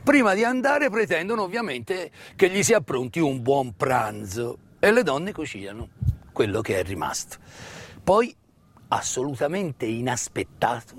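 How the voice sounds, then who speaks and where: A man tells a story of wartime history as a stage actor, with dramatic emphasis and deliberate pauses. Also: medium pitch, very animated, a bit bright, husky. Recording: live music mixed under the voice. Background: live musical accompaniment.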